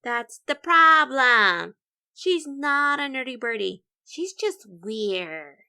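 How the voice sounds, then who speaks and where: A woman's voice: high-pitched, big pitch swings, slow, sharp tone, clean tone, pitched above the performer's own voice, exaggerated voice. A woman voicing indignant, cliquey nerdy birds from a picture book, emphatic and judgmental. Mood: dismissive.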